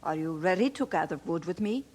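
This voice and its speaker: A woman's voice: fairly low pitch, expressive, a little fast, a little mellow. A woman acting in a radio drama as a stern, industrious mother of a poor family, commanding and formal in phrasing. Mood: brisk, businesslike.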